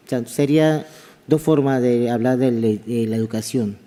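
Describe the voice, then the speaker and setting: A man from Panama with a Kuna accent, an anthropology professor giving a halting conference talk that often repeats words. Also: mid-range voice, dark tone. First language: Kuna (Guna). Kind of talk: conference presentation. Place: large conference hall. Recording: reverberant conference hall.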